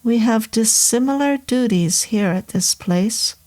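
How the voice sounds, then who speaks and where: A woman's voice: fairly high pitch, measured pace, dark tone, pitched above the performer's own voice, natural voice. A woman voicing a formal, polite middle-aged male innkeeper with a foreign accent and stiff phrasing. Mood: earnest.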